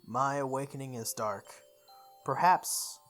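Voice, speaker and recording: A woman's voice: extremely low pitch, measured pace. A woman reading visual novel text aloud as a playthrough host, sometimes stumbling and re-reading lines. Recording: music behind the voice.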